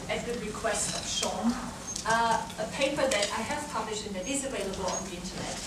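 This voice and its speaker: A woman's voice: medium pitch, brisk. German-accented woman lecturing in an echoey hall. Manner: hesitant, many fillers and restarts. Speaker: guest lecturer. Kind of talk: academic talk to students. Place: large lecture room. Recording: echoey lecture hall from far off, background noise.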